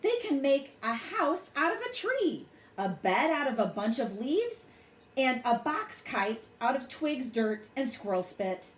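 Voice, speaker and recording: Female children's storyteller: very lively, high-ish pitch, unhurried dramatic delivery, roomy recording. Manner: deliberate, dramatic phrasing for children. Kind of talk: expressive bedtime story read-aloud. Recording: roomy echo, faint background noise.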